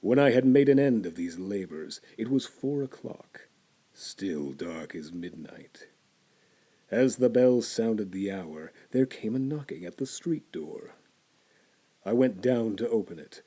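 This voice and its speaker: A male storyteller reads a classic horror tale in a slow, deliberate way. His voice sits low and dark, with a husky grain. His delivery is theatrical, sinking to hushed whispers and climbing into tense, intense bursts.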